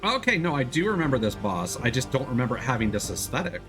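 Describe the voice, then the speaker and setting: A male game streamer commenting casually as he plays. His delivery is playful and animated, swinging widely in pitch, with clear music behind him. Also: fast talker. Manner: joking, playful. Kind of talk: casual live let's play commentary.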